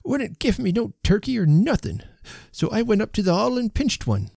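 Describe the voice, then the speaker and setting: A man voicing a frightened, toothless old rustic thief, croaky and breathless, whimpering as in a storybook. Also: high voice, wildly swooping pitch, moderate pace, warm tone, dry recording, studio quality, pitched above the performer's own voice, full character voice. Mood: fearful complaint. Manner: whimpering, rustic uneducated speech.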